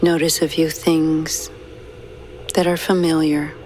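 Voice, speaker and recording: Low-pitched woman guiding sleep meditation. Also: level tone, a little hiss or hum. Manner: slow, soothing, hushed with long pauses. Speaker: meditation guide. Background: soft background music.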